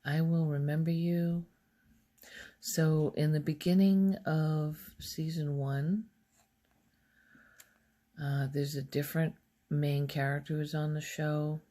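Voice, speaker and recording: A soft-spoken woman recording casual ASMR, rambling in a hushed voice and musing aloud as she goes. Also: sits low, level tone, slow pace, long pauses. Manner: hesitant, musing aloud, trailing off. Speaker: ASMR video creator.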